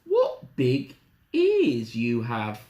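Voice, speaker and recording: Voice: medium pitch, huge pitch swings, unhurried, fairly bright tone, pitched above the performer's own voice, heavily acted. A storyteller voicing a sweet, innocent little girl with a polite, wide-eyed manner. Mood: wary, puzzled. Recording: roomy, echoing room.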